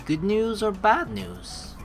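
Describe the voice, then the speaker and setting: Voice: medium pitch, slow pace, creaky. A let's play host from the Netherlands, reading a visual novel aloud and adding casual commentary. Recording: game music behind the voice. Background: game music.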